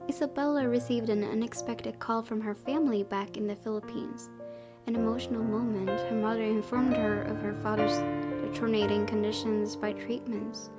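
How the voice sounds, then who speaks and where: A woman's voice: a little high, steady tone, moderate pace. Woman reading game narration over music. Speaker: gaming YouTuber. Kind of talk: reading visual novel narration aloud with casual asides. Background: background music.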